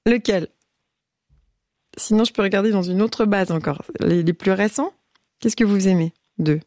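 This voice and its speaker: Playful woman radio host, gravelly. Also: medium pitch, takes it slowly, pauses often, darkish tone, dry recording, studio quality. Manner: playful, laughs often, hesitant reading of titles. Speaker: late-night radio host. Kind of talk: casual phone-in chat.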